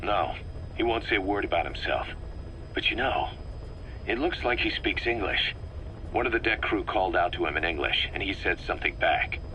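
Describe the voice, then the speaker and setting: An actor playing a hard, suspicious, bitter military commander in a video game, speaking in a terse, accusatory way. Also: male voice, low voice, lively intonation, normal speed, pushed and bright. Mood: matter-of-fact, frustrated. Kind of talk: dramatic video game voice acting. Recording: slightly noisy cassette tape recording.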